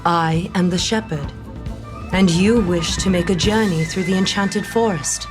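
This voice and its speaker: Woman acting a low-voiced, mysterious shepherdess. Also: music in the background, natural voice. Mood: serene curiosity. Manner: measured, formal, portentous. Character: calm, mysterious blind shepherd woman, wise guide. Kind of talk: audio drama table read acting.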